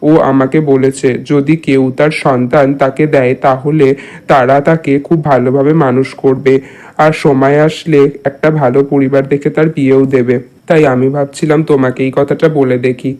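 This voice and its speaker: A man playing a scheming, smooth-talking and grimly resolved village father in a cartoon. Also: medium pitch, flat delivery, moderate pace, darkish tone, lightly acted. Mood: persuasive. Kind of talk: cartoon character acting in a Bengali moral tale.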